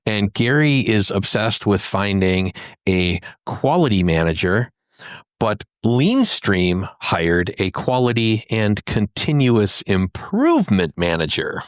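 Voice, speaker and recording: A man's voice: on the low side, big pitch swings, slow, dry recording, clean studio sound. A man co-hosting a podcast, giving casual commentary in conversation. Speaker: podcast co-host.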